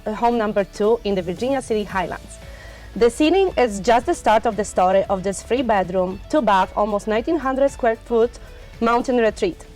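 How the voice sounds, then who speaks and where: Higher-voiced Polish-accented woman agent. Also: a little mellow. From Poland. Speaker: real estate agent. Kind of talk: reading a home listing on a radio show.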